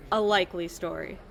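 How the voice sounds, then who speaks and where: A woman's voice: lowish voice, vocal fry, fairly bright tone, heavily acted. A woman playing a hostile, suspicious town lawkeeper in a post-apocalyptic audio drama, with a sneering, authoritative manner. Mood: mocking suspicion.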